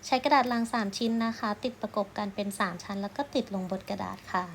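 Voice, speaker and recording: A woman's voice: mid-range voice, fast talker, a little hiss or hum. A woman art teacher narrating a craft tutorial step by step in a polite, instructional way.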